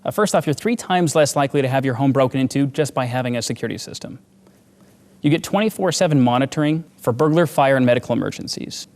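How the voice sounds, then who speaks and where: A man speaking as an expert guest, giving an interview-style sales pitch. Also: lively intonation, fast, darkish tone, long reverb tail. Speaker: home security expert guest.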